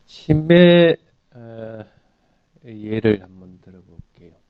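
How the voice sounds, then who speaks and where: A man's voice: mid-range voice, lively intonation, takes it slowly, clean tone, narrow, tinny audio. A man teaching in an explanatory dharma talk, putting questions to listeners and answering them in a didactic way. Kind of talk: explanatory sermon with everyday examples.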